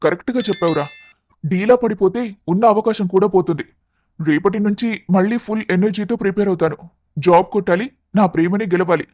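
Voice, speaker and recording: High-voiced, earnest young man, acted by a man. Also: a little fast, a little mellow, no room sound, studio quality. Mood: determined. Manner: earnest, confiding. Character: anxious, affectionate young male job seeker. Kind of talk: moral story dialogue for animation.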